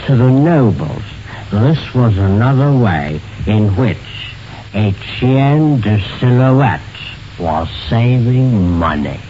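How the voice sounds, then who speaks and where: A man giving a formal, measured scripted narration as a radio presenter. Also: medium pitch, very animated. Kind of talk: scripted narration of a historical anecdote. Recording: dull, noisy vintage radio recording.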